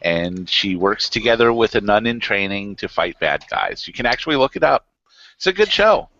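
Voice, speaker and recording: A man's voice: lowish voice, big pitch swings, moderate pace, bright, forceful tone. A man in a casual joking group chat, telling things in a wry, mock-serious way. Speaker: podcast panelist. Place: group internet voice call. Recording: dull lo-fi online voice call.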